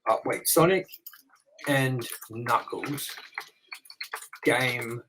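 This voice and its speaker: A man's voice: mid-range voice, extremely slow, background noise. A man in his thirties co-hosting a movie review show, chatting casually and telling rambling stories with casual swearing.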